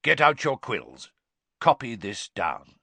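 A man's voice: medium pitch, very animated, takes it slowly, creaky, sharp tone, husky, no room sound, full character voice. A man voicing a stern, intense old battle-scarred professor with a growl and an emphatic lecturing manner in an audiobook.

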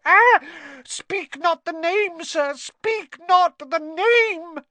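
A performer voicing a small male house-elf, servile and anxious, with a squeaky, fretful, reverent way of speaking for a storybook. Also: extremely slow, fairly bright tone, dry recording, heavily acted. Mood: frantic alarm. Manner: reverent third-person speech, fretful.

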